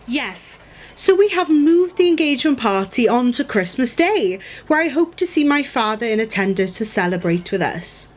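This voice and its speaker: An actress playing a feisty, indignant young woman in a radio play, sharp-tongued and exclamatory. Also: a little high, big pitch swings, moderate pace.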